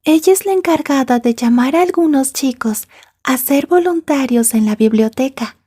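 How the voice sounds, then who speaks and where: A woman playing a possessive, jealous yandere schoolgirl in an ASMR roleplay, soft and breathy, taunting and slipping into whispers. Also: high-pitched, moderate pace, lightly acted. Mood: sinister, taunting.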